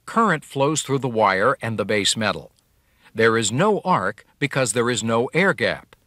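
A man's voice: medium pitch, fairly bright tone, dry recording, studio quality. Man narrating a welding training video. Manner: clear, measured explanatory delivery. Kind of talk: scripted instructional narration.

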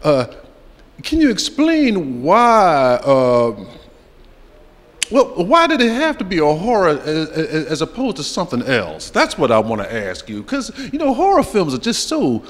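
A man's voice: fairly high pitch, huge pitch swings, a little slow, a bit bright. A middle-aged man hosting a festival interview on a handheld mic, theatrical and joking, rambling through his questions. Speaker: festival interviewer, show host. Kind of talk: playful red-carpet interview. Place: festival press wall, indoors. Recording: reverberant hall.

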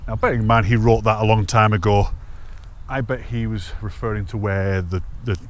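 A man in his thirties with a lowish voice talks into a handheld camera while walking outdoors. He is chatty and enthusiastic. Faint outdoor ambience sits behind him. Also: steady tone, moderate pace, a little hiss or hum. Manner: chatty, enthusiastic, laughs to himself. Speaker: hiking vlogger. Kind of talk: casual walking commentary. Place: outdoors on open fells and woodland paths. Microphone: handheld camera at arm's length.

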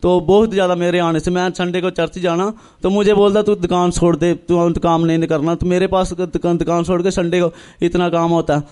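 A young man from northern India tells his religious testimony earnestly, in halting, repetitive phrases, acting out remembered dialogue. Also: sits high, flat delivery, darkish tone, clear, resonant tone. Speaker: church member giving testimony. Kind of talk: personal religious testimony, storytelling.

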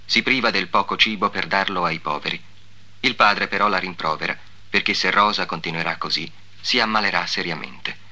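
A man's voice: low-pitched, moderate pace, sharp tone. A man giving a formal, solemn scripted narration in the style of the 1950s.

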